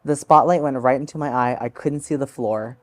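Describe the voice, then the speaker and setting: A woman's voice: extremely low pitch, a little fast, faint music behind. Joking woman guest. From United States. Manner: animated, joking, self-mocking. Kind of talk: casual interview chat.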